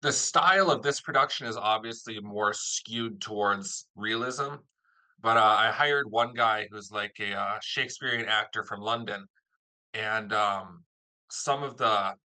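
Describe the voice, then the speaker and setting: A man's voice: lowish voice, measured pace, bright, forceful tone, low-quality recording. A man hosting a casual interview, chatting as the producer of an audio drama.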